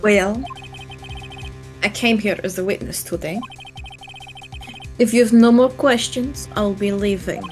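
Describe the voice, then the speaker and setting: A woman voicing a cool, dismissive female courtroom witness in a game fan dub, with measured, condescending phrasing. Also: mid-range voice, a little mellow, close to an everyday voice. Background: music.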